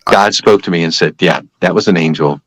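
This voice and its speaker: Brisk low-voiced man, dry close sound. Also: fairly bright tone, no room sound. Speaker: podcast guest.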